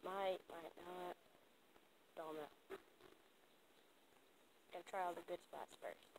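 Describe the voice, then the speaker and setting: A woman's voice: fairly even intonation, slow pace. A woman vlogging while fishing at a pond, talking casually and coaxing the fish. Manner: low-key muttering, coaxing the fish. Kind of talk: casual running commentary. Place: outdoors at a pond's edge. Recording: outdoor noise with animal sounds.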